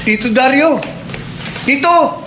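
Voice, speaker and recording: High-voiced, polite young man. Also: slow, music in the background. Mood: eager. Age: young adult. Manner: deferential, earnest. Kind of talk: Filipino radio drama acting.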